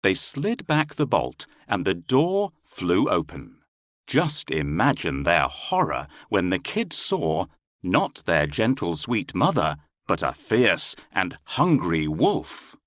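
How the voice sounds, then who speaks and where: A man's voice: deep voice, huge pitch swings, takes it slowly, a bit bright, dry recording, clean studio sound. A man narrating a children's fairy tale as a scripted storyteller.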